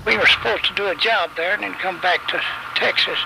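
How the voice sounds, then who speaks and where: An older man with a rural Kentucky accent, telling his memories in a plainspoken, halting, folksy way as an interviewee. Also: sits high, vocal fry, very noisy. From Kentucky, USA. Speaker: eyewitness interviewee, war veteran. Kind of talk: unscripted personal reminiscence. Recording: radio interview over music bed. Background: background music.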